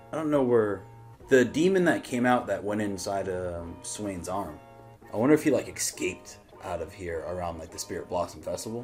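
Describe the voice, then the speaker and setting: A man hosting a reaction show, chatting casually and rambling as he thinks aloud. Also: sits low.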